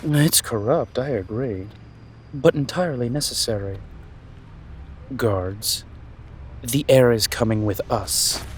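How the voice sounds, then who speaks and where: A man playing a haughty, calculating young prince in a fantasy audio drama, speaking in a pompous, deliberate, menacing way. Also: medium pitch, a little hiss or hum, natural voice. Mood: grandiose. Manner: pompous, deliberate, drawn-out delivery. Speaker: voice actor.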